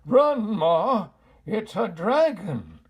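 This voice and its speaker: Man acting an excitable old country farmer, husky. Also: sits high, extremely slow, voice pushed up, heavily acted. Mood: alarmed yelling. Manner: folksy, calling out in alarm.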